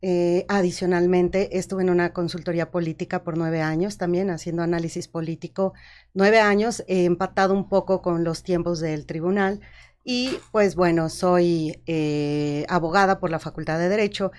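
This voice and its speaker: A woman's voice: fairly low pitch, steady tone, normal speed, a little mellow. A woman, a judge and academic speaking formally and courteously as a panel guest, laying out her career methodically. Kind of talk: panel talk.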